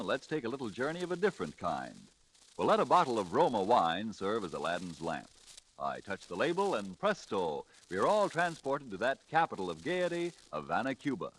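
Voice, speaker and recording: A man's voice: lowish voice, fairly bright tone, rough recording. Swooping 1940s radio ad man. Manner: emphatic, polished salesmanship. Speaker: commercial announcer. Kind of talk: 1940s radio sponsor pitch. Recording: vintage radio broadcast, faint music.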